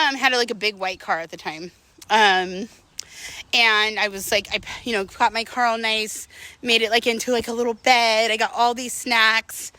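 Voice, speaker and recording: Bright, higher-pitched woman telling stories candidly. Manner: candid, chatty, rambling with frequent "like" and "you know". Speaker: storytelling vlogger. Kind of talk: casual personal storytelling.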